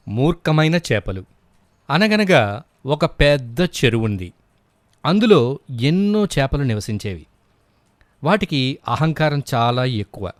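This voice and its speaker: A man's voice: mid-range voice, no echo. A man narrating scripted moral stories for children as a storyteller.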